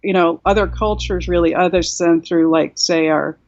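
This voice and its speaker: A woman in her sixties, from South Korea, speaks at length as a reflective guest over a webcam mic at home. Her voice sits a little low, with a lot of "you know"s and restarts. The call audio is muffled and dry, with no room sound. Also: fairly even intonation, moderate pace, a little mellow, no echo. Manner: reflective, talkative, many you-knows and restarts. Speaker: guest author. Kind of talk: casual interview on a video call. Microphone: laptop webcam mic on a video call.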